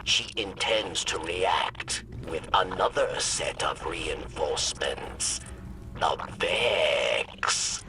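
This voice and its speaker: An actor playing a weary, pleading alien informant in a video game, with a breathy rasp and halting, formal broken syntax. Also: male voice, deep voice, exaggerated voice. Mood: grave warning. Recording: distant, heavy noise of game ambience.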